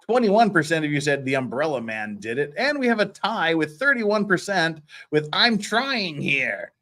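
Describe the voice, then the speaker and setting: Male livestream host, lively swinging intonation, casual chat, jokey, playfully scolding. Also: a little high, normal speed. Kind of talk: casual livestream chat, thanking viewers and reading comments.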